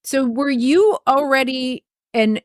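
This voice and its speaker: Female podcast host, fairly high voice, short affirmations, dry close mic. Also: takes it slowly, no echo. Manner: warm reactions, frequent laughs and short affirmations. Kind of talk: casual interview chat.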